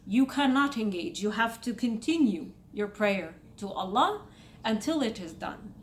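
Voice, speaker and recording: A young woman from Saudi Arabia with an Egyptian accent, talking to her viewers in a casual, emphatic commentary. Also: a little hiss or hum. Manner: emphatic, spikes in pitch when making a point. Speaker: vlogger.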